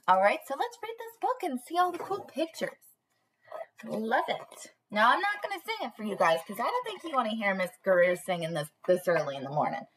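A woman's voice: mid-range voice, fairly bright tone. A young woman preschool teacher doing a read-aloud for small children in a bubbly, sing-song manner, recorded on a webcam in a small room. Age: young adult. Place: small panelled indoor room.

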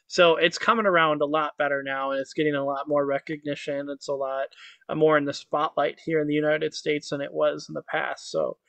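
Upbeat higher-voiced man on a video call. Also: level tone, fairly quick, gravelly, fairly bright tone, no echo. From Croatia. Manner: upbeat, fluent, many "um"s and "you know"s. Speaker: guest, motivational speaker. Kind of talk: casual interview chat. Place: indoor home office with virtual backdrop. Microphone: webcam on a video call.

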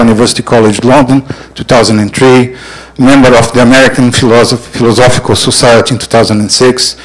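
A man's voice: medium pitch, poor audio. Middle-aged guy introducing a speaker off his notes into a handheld mic, trips on some words. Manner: reads a list of honors with slips and restarts. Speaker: session chair introducing the speaker. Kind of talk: formal introduction read from notes. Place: large lecture hall, seated at a panel table. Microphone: handheld microphone.

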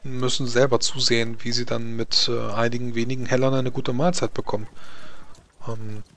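A man improvising in character as a tabletop game master, explaining things in a halting way. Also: mid-range voice, level tone, fairly bright tone.

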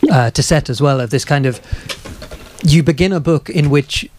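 A man's voice: on the high side, normal speed, a little mellow, slight background noise. A man in his thirties conducting a literary interview, asking long, thoughtful questions with hesitant phrasing. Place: small crowded bookshop, live event. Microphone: handheld microphone.

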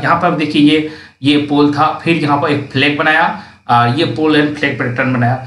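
A man's voice: on the high side, a bit bright. A man narrating a market chart walkthrough, explaining each index and reading out price levels as he points at the screen. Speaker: stock market analyst. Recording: roomy, distant mic.

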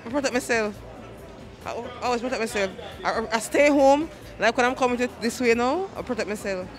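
A woman's voice: on the high side, brisk, soft background music, a faint crowd. A woman with a Jamaican accent, answering on a busy city street into a handheld mic in firm, short replies. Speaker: street interviewee. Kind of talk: casual street chat. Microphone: handheld mic held by interviewer.

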